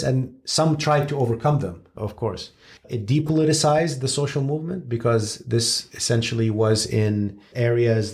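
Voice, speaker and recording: A man from Syria giving long, measured, analytical answers as a guest expert, with frank asides. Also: fairly low pitch, a little mellow.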